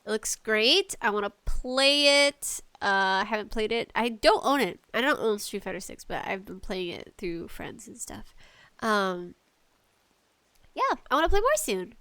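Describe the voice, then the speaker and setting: A woman hosting a casual gaming podcast, talking solo in a slangy, conversational way with animated asides. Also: medium pitch, unhurried, smooth, no room sound. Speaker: podcast host.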